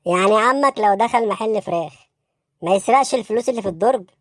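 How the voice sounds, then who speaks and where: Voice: medium pitch, quick pace, no room sound, studio quality, close to an everyday voice. A performer voicing a baffled, bossy police interrogator in an Egyptian comedy cartoon, brusque and insistent, with an Egyptian accent. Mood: exasperated, bossy.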